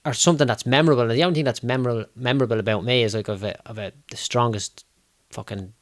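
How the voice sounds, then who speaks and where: A man's voice: mid-range voice, fairly even intonation, very fast, no echo, clean studio sound. Chatty Dublin man hosting. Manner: chatty, swears, laughs easily. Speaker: podcast host. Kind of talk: casual interview chat.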